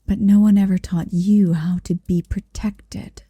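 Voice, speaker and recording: A woman voicing a possessive, seductive motherly dragon in an intimate ASMR roleplay, breathy and whispery, speaking slowly and deliberately close to the microphone. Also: medium pitch, steady tone, warm tone, dry recording. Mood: tender, teasing. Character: possessive, seductive motherly female dragon hoarding her captive. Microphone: close microphone, dry.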